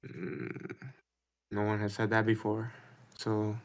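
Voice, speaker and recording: A man's voice: lowish voice, steady tone, takes it slowly, creaky. A man on a voice call, defensive and irritated, swearing often. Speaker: caller. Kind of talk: heated argument on a voice call.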